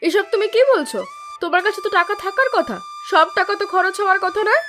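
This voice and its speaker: A woman's voice: very high-pitched, fast talker, pushed and bright, faint music behind. A woman voicing a nagging, demanding village wife in a cartoon. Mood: angry, scolding. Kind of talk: Bengali cartoon voice acting.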